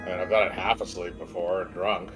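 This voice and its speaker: Male streamer, lowish voice, relaxed and jokey playthrough chat, strong music bed. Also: fast, low-quality recording. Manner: joking, laid-back. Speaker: game streamer. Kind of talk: casual playthrough banter. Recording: loud game music underneath. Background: game soundtrack.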